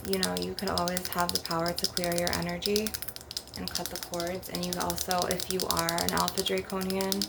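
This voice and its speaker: A woman's voice: lowish voice, vocal fry. A middle-aged woman giving a casual, rambling, sweary ASMR monologue in a blunt manner. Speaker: ASMR creator leading an energy-clearing session. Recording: noisy, clicking and tapping sounds. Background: tapping, clicking and rattling on glass or crystal.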